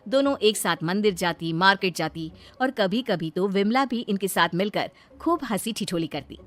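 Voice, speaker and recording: A woman's voice: medium pitch, expressive, moderate pace. A woman reading scripted fairy tale narration as the storyteller.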